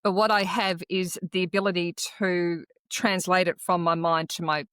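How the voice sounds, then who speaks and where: Low-voiced woman, earnest storyteller. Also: flat delivery, fairly bright tone, clean tone, dry recording, studio quality. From Australia. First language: Croatian. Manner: earnest, reflective personal storytelling with halting restarts. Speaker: podcast co-host. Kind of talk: casual conversational podcast chat.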